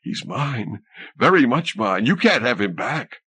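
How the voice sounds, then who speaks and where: A man's voice: mid-range voice, sing-song, a little slow, sharp tone, breathy edge, heavily acted. A man voicing an exultant, seductive undead drowned woman who gloats over her prey in an audiobook story. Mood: gloating, possessive.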